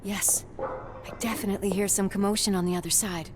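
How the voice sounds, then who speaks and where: Brief: woman voicing a mid-pitched, earnest female cop partner, music under her. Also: steady tone, a little fast, lightly acted. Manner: warm, teasing, earnest. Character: caring female police partner. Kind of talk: video game cutscene acting.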